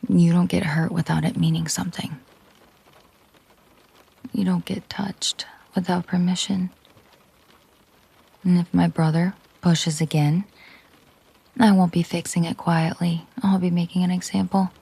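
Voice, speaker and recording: Slow, husky woman acting a mafia boss girlfriend. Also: fairly low pitch, flat delivery, exaggerated voice. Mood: cold, resolute. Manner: slow, deliberate, hushed, often breathy near-whisper. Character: possessive, menacing mafia boss girlfriend, controlled and protective. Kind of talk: intimate audio roleplay monologue.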